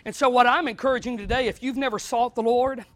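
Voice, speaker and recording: A man preaching in a small church, his voice sitting very high and sounding bright and forceful. He moves between low, close talk and loud shouted climaxes. The sound is dry and close to the mic. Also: fairly even intonation, quick pace, no echo. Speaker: pastor. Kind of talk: sermon.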